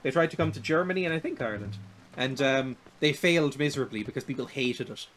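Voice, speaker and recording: A young man in his twenties with a light, naturally high voice, rambling and laughing at himself while he streams a video game. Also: quick pace, music in the background, poor audio. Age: 20s. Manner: rambling and self-deprecating, laughs often. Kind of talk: casual chat while playing a video game.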